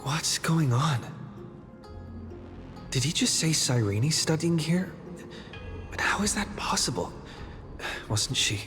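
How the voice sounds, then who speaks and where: An actor playing a puzzled young adventurer in a video game, thinking aloud in a hushed, questioning way. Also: male voice, on the high side, very animated, slow, long pauses, long reverb tail. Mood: confused, frustrated. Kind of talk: English video game voice acting, inner monologue. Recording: music behind.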